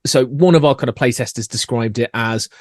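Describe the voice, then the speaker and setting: Scottish man, mid-pitched guest, eager and self-correcting, dry close sound. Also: fairly quick, dry recording. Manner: lively, self-correcting, repeats words. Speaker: game designer guest. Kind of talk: casual podcast interview.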